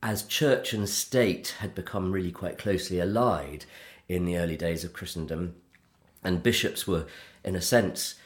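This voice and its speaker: A man's voice: deep voice, moderate pace. A middle-aged man, a Church of England vicar, hosting a theology podcast and speaking in an earnest, articulate way. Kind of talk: conversational theological discussion.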